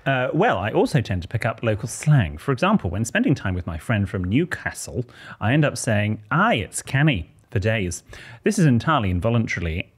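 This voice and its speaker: Man in his 30s, podcast co-host reading letters, low husky voice, lively melodic delivery, close studio mic. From Bristol, England. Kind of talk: casual chat and reading listener letters. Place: small podcast studio, indoors. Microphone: close studio mic on boom arm, headphones.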